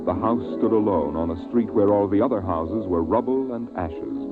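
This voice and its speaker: An actor narrating a 1950s science-fiction radio drama as a solemn storyteller, with measured phrasing and weighty pauses. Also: male voice, on the low side, lightly acted. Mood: solemn, dramatic. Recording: tinny vintage radio recording, music behind.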